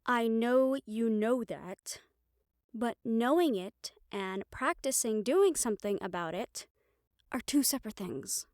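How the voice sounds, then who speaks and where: A woman playing a gentle, caring young banshee in an intimate audio roleplay, speaking softly and soothingly, with some phrases trailing into a whisper. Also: a little high, animated, slow, no echo, studio quality. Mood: gentle, reassuring. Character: gentle young female banshee from Puerto Rico, caring crush. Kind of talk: intimate audio roleplay acting, one-sided conversation.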